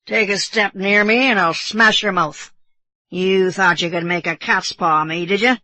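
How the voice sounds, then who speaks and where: An audiobook narrator voicing a brash, rough, drunken sailor, a man who blusters with belligerent scorn. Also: mid-range voice, moderate pace, bright, forceful tone, no room sound, natural voice. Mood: defiant, boastful. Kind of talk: audiobook character voice.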